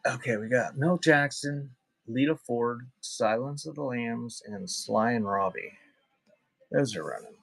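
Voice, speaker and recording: A man with a mid-pitched voice hosts a live online sale in a casual way. He talks conversationally, drifting between short item call-outs and loose chat with the people watching. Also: measured pace, vocal fry, fairly bright tone, no room sound. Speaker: live auction seller and host. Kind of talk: casual livestream selling chat.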